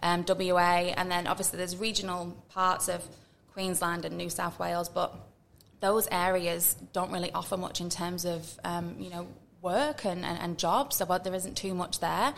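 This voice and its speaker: A chatty woman from Manchester with a broad Northern English accent. Her lowish voice carries a gravelly fry as she talks through her story. She comes across as bubbly and quick with her asides. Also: steady tone, a little hiss or hum. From Manchester, England. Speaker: podcast guest, recruiter. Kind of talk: casual interview chat.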